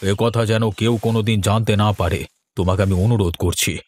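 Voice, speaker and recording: A man's voice: lowish voice, fairly even intonation, brisk, a little mellow, natural voice. A man voicing a worried, grave middle-aged father in a horror cartoon.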